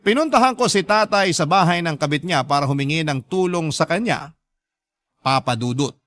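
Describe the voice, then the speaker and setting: A man who works as a radio host, narrating a first-person story in a measured, sentimental, dramatic style. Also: on the high side, lively intonation, a bit bright, no room sound.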